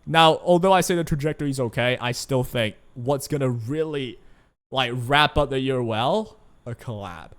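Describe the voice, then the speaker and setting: A man's voice: mid-range voice, moderate pace, a bit bright. A young man whose first language is Chinese, giving casual, rambling commentary on a gacha game's revenue as a gaming YouTuber. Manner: chatty, rambling, frequent "right?" asides.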